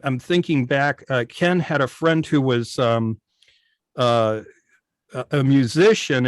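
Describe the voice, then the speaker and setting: A man's voice: a little high, dry recording. A man giving a casual, methodical technical explanation as a guest on a video meeting. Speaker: guest, old-time radio station operator. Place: remote video call. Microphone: video call microphone.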